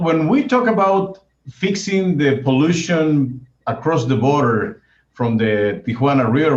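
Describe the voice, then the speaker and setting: A man with a Mexican accent, a news editor co-hosting a town hall with formal introductions. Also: mid-range voice. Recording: echoey, muffled video-call audio.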